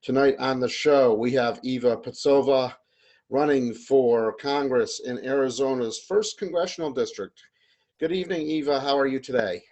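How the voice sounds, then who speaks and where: A man's voice: mid-range voice, normal speed, darkish tone. Guy hosting an interview, friendly intro, plain mid voice. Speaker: show host.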